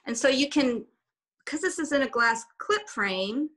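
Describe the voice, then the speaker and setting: A woman's voice: high-pitched, lively intonation, a little slow, fairly bright tone. A woman whose first language is German and Swiss German, chatting casually as a guest on a video call, rambling a little with restarts. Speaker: guest artist.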